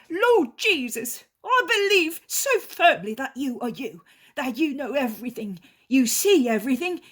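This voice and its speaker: A woman's voice: high voice, voice pushed up. A woman voicing a repentant middle-aged male innkeeper who pleads fervently and tearfully.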